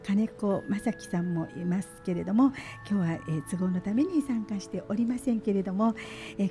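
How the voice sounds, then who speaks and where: A middle-aged woman hosting live community radio, announcing and interviewing in polite, conversational phrasing. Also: mid-range voice. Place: small community radio studio. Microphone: studio boom microphone with headset headphones. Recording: soft background music behind studio voice. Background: faint music.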